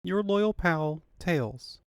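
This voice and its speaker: A man's voice: high-pitched, animated, extremely slow, smooth, no room sound, studio quality, pitched above the performer's own voice, full character voice. A man voicing an earnest young fox sidekick in a comic dub, with a childlike delivery.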